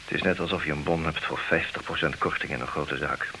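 An actor playing a talkative betrayed husband in a Dutch comic radio play, theatrical and wry, wavering between agitation and resignation. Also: male voice, a bass voice, steady tone, a little fast, sharp tone, full character voice, low-quality recording. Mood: resigned, wry. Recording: hissy old radio play recording.

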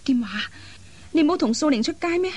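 A woman playing an anxious, caring elder sister in an expressive, earnest radio drama style. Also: high-pitched, a little fast, muffled recording. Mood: anxious, panicked. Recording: faint background noise.

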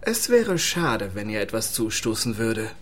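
Shifty informant, swooping intonation. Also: male voice, on the low side, big pitch swings, measured pace. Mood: concerned. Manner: haggling, coy, swooping intonation. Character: shifty, bargaining male informant. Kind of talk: radio crime play dialogue.

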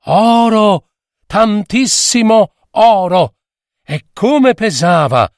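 Slow, animated male storyteller. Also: a little high, no room sound, studio quality. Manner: theatrical, deliberate phrasing with dramatic pauses. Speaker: storyteller, adapter and reader of fairy tales. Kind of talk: expressive fairy-tale narration for listeners.

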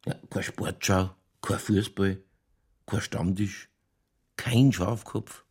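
A man playing an older, folksy Bavarian police chief in a radio crime drama, sly and skeptical, with a colloquial, dry, placating manner and a gravelly voice. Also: on the low side, steady tone, slow pace, a little mellow, full character voice. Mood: musing, skeptical.

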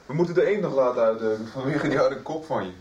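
Man acting quick impatient teasing guy, radio drama, muffled and echoey. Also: mid-range voice, darkish tone, close to an everyday voice. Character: brisk, teasing, impatient male friend. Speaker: radio soap actor. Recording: distant, roomy, dull, faintly noisy recording.

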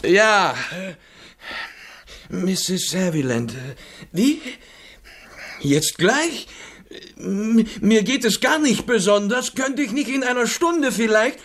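A man playing a put-upon secretary in a radio play, husky, hesitant and guarded, with bitterness under his politeness. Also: sits high, lively intonation, slow, pauses often, a bit bright, exaggerated voice. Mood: flustered. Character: resentful, put-upon male secretary to a novelist.

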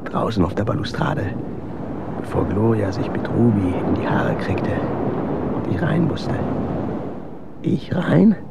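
Exhausted gravel-voiced man, loud rumble. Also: sing-song, exaggerated voice. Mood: brooding, wistful. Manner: murmured, halting monologue, bitter. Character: exhausted, brooding man longing for the sun. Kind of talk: radio drama monologue. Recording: loud rumbling noise around voice.

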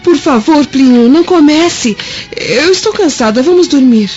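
High-voiced, reserved Roman wife. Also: female voice, expressive, normal speed. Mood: weary. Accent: Brazilian. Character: jealous young Roman wife, weary and reserved. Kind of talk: Brazilian radio drama acting. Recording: dull lo-fi radio drama, music behind.

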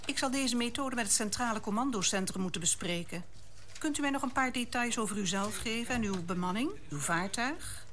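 Female controller actress, radio play: fairly high voice, official, sceptical, noisy sound. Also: fairly quick, a bit bright, close to an everyday voice. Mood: formal. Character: businesslike, sceptical female air traffic controller.

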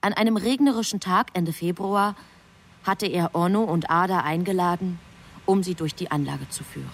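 A woman playing a heavenly messenger who narrates human affairs in a radio drama, in a measured, literary manner. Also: on the low side. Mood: measured, reflective. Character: female heavenly messenger calmly recounting human love affairs.

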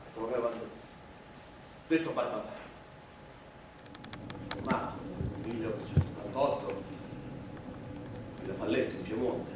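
Echoey, distant actor as earnest Italian immigrant anarchist. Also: male voice, fairly low pitch, natural voice. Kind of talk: theatrical monologue, courtroom testimony. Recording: very echoey, far-off mic, noisy stage recording.

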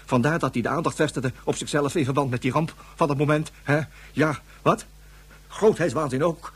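Very husky, flustered, urgent man. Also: fairly high pitch, steady tone, normal speed. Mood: hushed, urgent. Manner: stammering, urgent, conspiratorial. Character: flustered man soothing, then secretly reporting a madman. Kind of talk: Dutch science-fiction radio drama acting.

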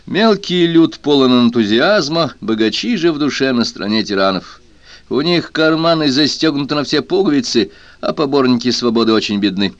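A man's voice: mid-range voice, animated, moderate pace, no room sound, lo-fi sound, close to an everyday voice. Man acting a blunt, emphatic army sergeant. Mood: emphatic, grim.

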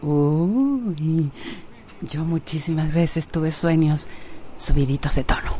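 An actress playing a tender, excitable pregnant woman in love who keeps the peace. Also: sits low, slow. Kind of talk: Spanish-language radio soap acting.

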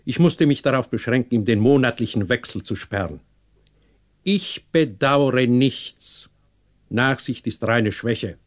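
A man acting a stern, proud, unforgiving older patriarch in a German radio play, cold, sarcastic and measured. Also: medium pitch, lightly acted. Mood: cold, haughty.

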